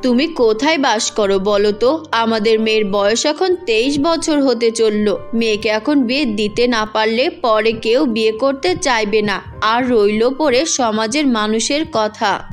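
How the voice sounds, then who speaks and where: A woman's voice: fairly high pitch, unhurried, faint music behind, voice pushed down, natural voice. A woman voicing a fretful, scolding middle-aged mother in a cartoon fairy tale. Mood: worried, insistent. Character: exasperated, nagging middle-aged Bengali mother of a grown daughter.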